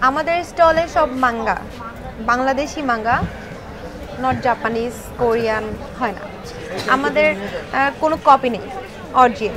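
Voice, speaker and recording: A high-pitched woman's voice presents products at a book fair stall. She answers informally and slips English words into her sentences. A busy crowd is heard behind her. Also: a little slow, pauses often. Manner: mixes English words into speech. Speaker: bookstall seller.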